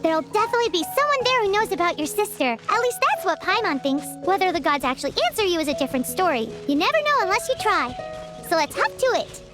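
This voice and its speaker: Tiny chirpy fairy sidekick, extremely high squeaky voice, very animated, game dialogue, music behind. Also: female voice, fast talker. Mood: chirpy, eager. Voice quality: squeaky, childlike. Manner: perky, speaks of herself in third person. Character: tiny chirpy female fairy companion, eager and childlike.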